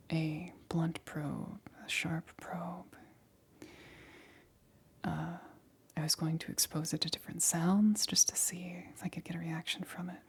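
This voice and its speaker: A woman playing a nervous scientist in a whispered ASMR roleplay, speaking softly and breathily with hesitant, halting stammers. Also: sits low, creaky, slightly rough, full character voice. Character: nervous female scientist examining an alien specimen.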